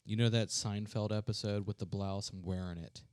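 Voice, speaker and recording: A man's voice: lowish voice, steady tone, normal speed, darkish tone, smooth, no echo, studio quality. Tipsy, laid-back male co-host. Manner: laid-back, playful, lots of backchannel. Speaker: podcast co-host. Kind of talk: casual chat.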